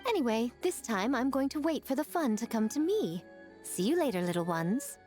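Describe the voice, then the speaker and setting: Sly, teasing fox lady, lively intonation. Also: a little high, moderate pace, faint music behind. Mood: breezy, teasing. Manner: poised, haughty, playful. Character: sly, self-assured, teasing adult female kitsune. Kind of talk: English video game voice acting.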